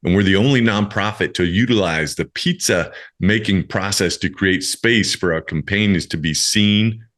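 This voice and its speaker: A man's voice: low-pitched, moderate pace, few pauses, fairly bright tone. A man hosting a podcast in an effusive, encouraging way, moving between scripted introduction and casual chat and addressing listeners directly. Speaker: podcast host.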